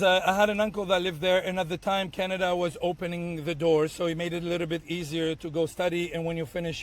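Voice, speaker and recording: Arabic-speaking man in his thirties, storytelling. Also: fairly high pitch. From Tunisia. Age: 30s. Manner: animated storytelling, acts out his own past lines, many restarts. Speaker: bartender guest. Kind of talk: casual podcast conversation.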